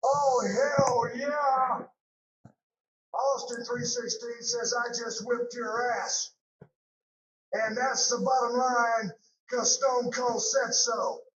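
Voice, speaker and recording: A man's voice: very high-pitched, slow pace, fairly bright tone, recorded from a distance, exaggerated voice. A man playing a cocky, aggressive pro wrestler, throwing out boastful, menacing one-line taunts for a video game. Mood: roaring, aggressive. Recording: muffled, echoey, noisy game audio rip.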